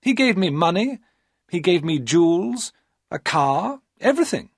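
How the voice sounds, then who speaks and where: A vain, cold young woman speaks in a raised, sing-song voice, as a man puts her on for a storybook. Her lines swing widely in pitch and come slow and deliberate. The sound is close and dry. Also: very animated, slightly rough, no echo, exaggerated voice. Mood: scornful. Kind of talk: storybook reading.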